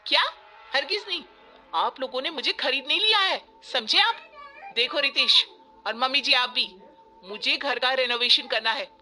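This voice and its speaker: A woman's voice: sits high, moderate pace, pushed and bright. A woman voicing a spoiled, demanding young city bride in a story cartoon, whiny and petulant, dropping in English words. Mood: angry, demanding. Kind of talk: Hindi moral story cartoon voice acting. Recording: music bed behind the voice.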